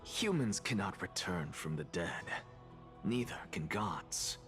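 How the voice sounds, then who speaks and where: A cerebral, condescending scholar back from the dead in a fantasy game. His pitch moves dramatically as he lectures with precise, haughty assurance. Music plays faintly behind him. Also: lively intonation, takes it slowly. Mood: coolly lecturing. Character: cool cerebral undead male scholar, proud and condescending.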